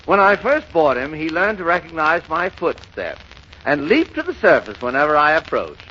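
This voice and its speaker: A man's voice: fairly high pitch, very animated, normal speed, bright, forceful tone. An actor playing a fussy, boastful man who spins absurd tall tales in 1930s radio comedy, fluttering and sputtering through a noisy, muffled recording. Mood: wistful, boastful. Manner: fluttery, sputtering, self-important storytelling.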